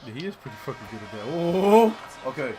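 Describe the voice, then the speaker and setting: A man's voice: medium pitch, big pitch swings, fast talker, dark tone, soft background music. A man chatting casually about a film, emphatic and slangy with frequent swearing. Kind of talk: casual chat about a film while gaming. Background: crowd noise and basketball bouncing from a game.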